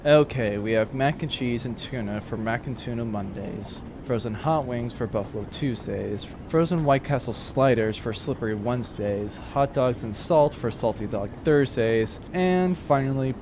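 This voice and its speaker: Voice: medium pitch, normal speed, hardly any pauses, noisy background, close to an everyday voice. A performer playing an exasperated pirate crew quartermaster in a comic audio drama, dry and sardonic. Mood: weary.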